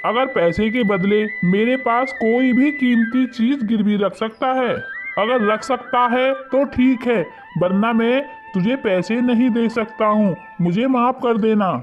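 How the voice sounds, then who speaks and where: A man playing a shrewd, suspicious village moneylender in an animated moral story, speaking with condescension. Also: very high voice, unhurried. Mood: firm, calculating. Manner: condescending, wary. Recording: muffled lo-fi audio under loud music.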